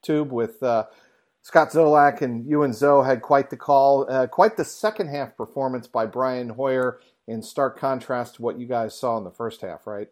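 A man hosts a sports podcast. His voice sits at an average pitch for a male speaker. He talks in an upbeat, conversational way, as if introducing a show and chatting with a guest. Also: moderate pace, a little mellow. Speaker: podcast host.

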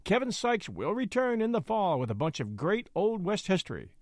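A man's voice: sits high, huge pitch swings, normal speed, no echo, clean studio sound. A man hosting a podcast, giving a friendly scripted introduction. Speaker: podcast host.

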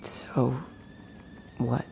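A woman's voice: extremely slow, warm tone, slight background noise. An actress playing a groggy, skeptical woman held by a cult in an audio drama, hesitant but blunt. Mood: wary, skeptical. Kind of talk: immersive audio drama acting.

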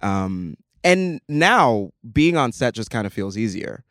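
A man in his twenties who grew up in Nigeria, chatting casually as a podcast guest and repeating words when excited. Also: mid-range voice, slow, no room sound, clean studio sound. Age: 20s. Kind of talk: casual chat.

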